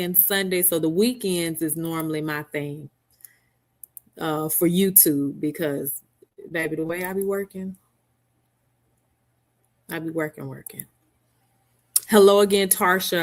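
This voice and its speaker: Slow-talking chatty woman livestreamer. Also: on the low side, fairly bright tone. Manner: chatty, greets viewers by name, laughs often. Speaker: livestream host. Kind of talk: casual live-stream chat with viewers. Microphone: headset mic.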